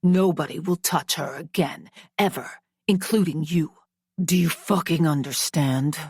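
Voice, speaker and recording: A woman's voice: low voice, unhurried, darkish tone, slightly rough, no echo, studio quality, exaggerated voice. A woman voicing a coolly controlled, dangerous man who threatens through clenched teeth. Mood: threatening.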